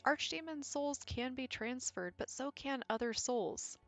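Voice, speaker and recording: A woman narrating a scripted video game lore essay in a measured, serious manner with dramatic pauses. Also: high-pitched, expressive, no echo. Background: background music.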